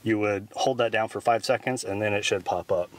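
A man's voice: lowish voice, fairly quick, noisy background. A man in his thirties giving an informal, step-by-step tech tutorial as the host. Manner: informal, instructional.

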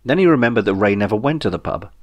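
A man's voice: lowish voice, animated, fast. A British man reading a comic novel as audiobook narration in a wry, droll way. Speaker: author reading own novel.

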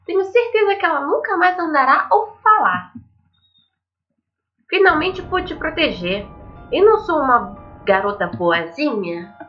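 A woman's voice: high-pitched, very animated, unhurried, muffled recording, faint music behind, voice pushed up. A woman voicing a cheerful, deranged teenage schoolgirl killer in a visual novel, sweet and gleefully boastful.

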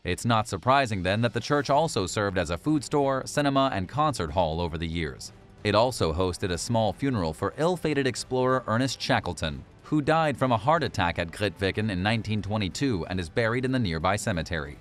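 A man's voice: on the low side, expressive, brisk, soft background music. A man reading scripted documentary-style narration for a list video.

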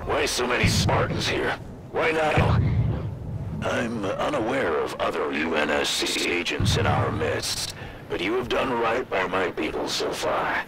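A man's voice: deep voice, very animated, unhurried, very noisy. An actor playing a proud, failing male AI governor of a colony, commanding and formal in manner. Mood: curt. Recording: echoey with loud music behind.

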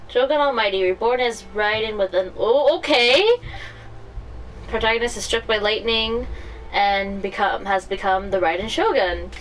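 A woman's voice: mid-range voice, normal speed, a bit bright, a little hiss or hum. A woman streaming a game playthrough with casual commentary, hesitant and trailing off as she reads aloud. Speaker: gaming streamer. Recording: distant mic, faint background game ambience.

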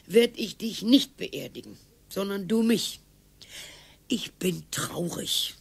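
Croaky, sardonic old wife. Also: female voice, medium pitch, sing-song, takes it slowly, heavily acted. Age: older. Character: weary, sardonic old wife, dryly morbid. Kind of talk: radio play dialogue.

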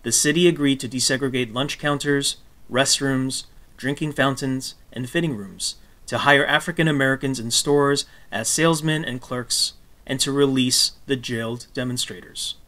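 A man reading scripted documentary narration in a measured, phrase-by-phrase manner. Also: mid-range voice, pushed and bright. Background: guitar music.

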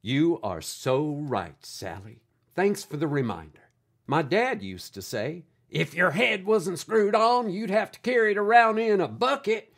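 A man playing a folksy, eccentric podcast host in an audio drama, with a theatrical way of talking. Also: a little high. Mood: folksy joking. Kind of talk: scripted audio drama hosting.

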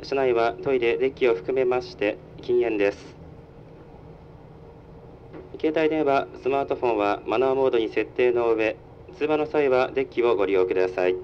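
Male train conductor, mid-pitched, formal and procedural, heard over a muffled onboard public address speaker. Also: moderate pace. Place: inside a moving train. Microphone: recorded from the carriage speaker. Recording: muffled train PA, rumbling vehicle noise. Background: train running noise.

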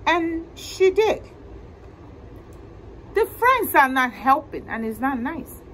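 Middle-aged woman, storytime reader: high voice, very lively intonation, deliberate delivery, noisy room. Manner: slow, deliberate, expressive, asking listeners questions. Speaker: library storytime host. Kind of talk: animated picture-book read-aloud to children. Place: indoor library room with bookshelves.

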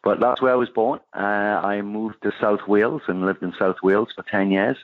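A man's voice: fairly low pitch, brisk, dry recording. A man from northeast England with a Geordie accent, a chef guest chatting casually in an interview, laughing easily with plenty of "uh" fillers. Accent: Geordie English. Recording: tinny phone-line sound.

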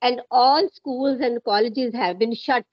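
A middle-aged woman with an Indian accent, coaching in conversation in a measured, encouraging way and repeating phrases for emphasis. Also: a little high, no room sound. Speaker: host, English-speaking coach. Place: small room at home, indoors. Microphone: wired earphone headset on video call. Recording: muffled video-call audio.

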